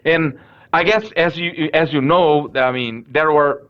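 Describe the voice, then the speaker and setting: A man in his thirties from Brazil with a Brazilian accent, a professor answering interview questions in halting speech full of fillers and restarts. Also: on the high side, muffled recording. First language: Portuguese. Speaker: professor guest.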